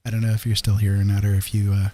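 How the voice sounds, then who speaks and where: Male streamer, low and very mellow, husky texture, casual late-night chat kept soft. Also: monotone, very fast. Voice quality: deep, husky. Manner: soft-spoken, holding his voice down so as not to wake someone. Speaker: livestreaming digital artist, former radio talk show host. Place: room at home. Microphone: podcast microphone through a mixer, at a desk.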